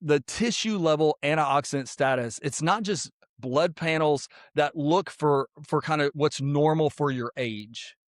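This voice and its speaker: A man of fifty, hosting a podcast in a casual, energetic monologue full of "like" and repeated points. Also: fairly high pitch, unhurried, few pauses, no echo, studio quality. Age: 50s. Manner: energetic, repetitive, filler-heavy persuasive talk. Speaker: podcast host.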